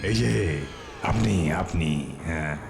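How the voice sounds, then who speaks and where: A man's voice: sits low, slow pace, creaky, over music, exaggerated voice. An actor playing a sly showman magician in a cartoon, theatrical and repeating phrases for suspense. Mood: grandly teasing. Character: sly showman street magician, eager for coins. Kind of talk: cartoon voice acting.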